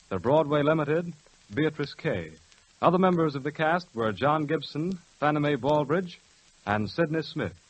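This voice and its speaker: A man announcing in a formal, measured 1940s radio style. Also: fairly high pitch, no room sound, rough recording.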